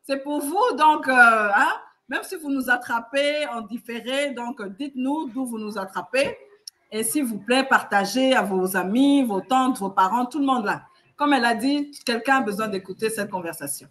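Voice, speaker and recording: A woman's voice: a little high, normal speed, fairly bright tone. A woman hosting a casual live stream, talking to viewers in an encouraging way. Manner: encouraging, urges viewers to share.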